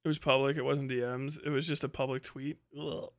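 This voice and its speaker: A man's voice: mid-range voice, flat delivery, brisk. An American man livestreaming an unscripted rant in a blunt, profane, exasperated and argumentative way, repeating points for emphasis. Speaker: livestream host. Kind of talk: casual unscripted livestream rant, answering chat.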